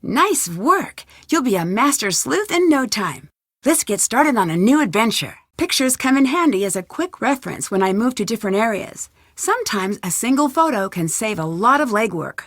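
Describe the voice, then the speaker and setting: Young female sleuth for a game: earnest, curious, animated delivery, close and dry. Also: on the high side, no echo, heavily acted. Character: confident, inquisitive young female amateur sleuth. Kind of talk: video game voice acting.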